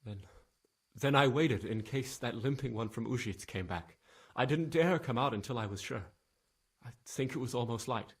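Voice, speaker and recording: A frightened young stable lad from a video game drama. His voice is husky and mid-pitched. He stammers and halts nervously, sounding guilt-ridden as he gives his account. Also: moderate pace. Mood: shaken, haunted. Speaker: video game character, witness being questioned. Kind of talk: dramatic game cutscene acting.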